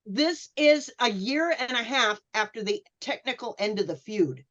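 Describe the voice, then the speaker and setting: Higher-voiced woman on dull lo-fi audio. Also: animated, measured pace, a bit bright. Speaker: podcast panelist. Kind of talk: casual, informed panel commentary.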